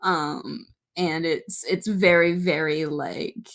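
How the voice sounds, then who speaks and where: A young woman co-hosting a podcast in casual chat, giggly and animated, full of "like" and "um". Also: sits low, slow pace. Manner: giggly, filler-heavy, animated. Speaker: podcast co-host.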